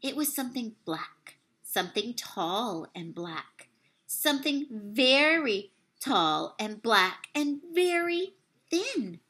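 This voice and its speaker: Expressive young woman reading a storybook. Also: on the high side, slow pace. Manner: expressive storytelling, swells and hushes for suspense; apologizes for slips and losing her place. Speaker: teacher reading aloud. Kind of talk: children's book read-aloud. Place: small room at home, plain wall behind. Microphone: webcam at desk distance.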